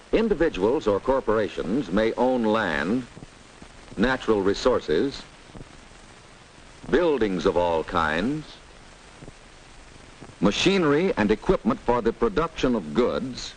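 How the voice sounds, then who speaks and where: A man's voice: medium pitch, low-quality recording. An American man playing a formal town treasurer, lecturing didactically in the style of a 1940s educational film. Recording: hissy old film soundtrack.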